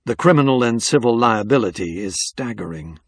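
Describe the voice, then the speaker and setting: A man voicing an unflappable FBI agent in an audiobook, with a Southern US accent and smooth, formal, measured speech. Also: medium pitch, expressive, no room sound, clean studio sound. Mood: calm, authoritative. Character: cool, insolent male Southern FBI special agent.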